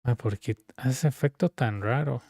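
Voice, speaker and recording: A man's voice: medium pitch, normal speed, vocal fry, a little mellow, breathy edge, dry recording, studio quality. A man streaming games and chatting casually, with informal, slangy banter. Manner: informal, slangy banter, switching between Spanish and English. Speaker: live streamer. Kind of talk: casual gaming stream chat.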